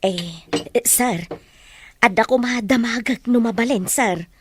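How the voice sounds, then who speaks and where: Woman acting a high-voiced, inquisitive housemaid. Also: a little slow, breathy edge. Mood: deferential, hesitant. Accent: Ilocano. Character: deferential but inquisitive housemaid. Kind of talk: radio drama acting.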